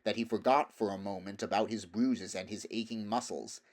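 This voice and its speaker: A man with a fairly low voice narrates a storybook. He reads scripted prose as an audiobook reader would. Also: fairly even intonation, moderate pace.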